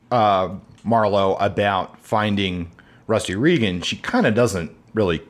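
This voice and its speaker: Low-voiced man discussing a novel. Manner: reads passages aloud, thoughtful with restarts. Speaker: podcast co-host. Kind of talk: casual book discussion.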